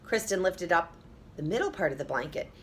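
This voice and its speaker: A woman's voice: lowish voice, lively intonation, brisk, a little hiss or hum. A woman teacher reading a children's story aloud expressively, with emphasis, addressing young listeners directly.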